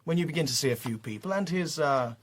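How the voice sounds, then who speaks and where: An actor with an upper-class English accent playing a roguish, affable Regency gentleman gambler in a radio drama. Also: on the high side, lively intonation, fast, close to an everyday voice. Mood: jaunty, gossipy. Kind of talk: British radio drama acting.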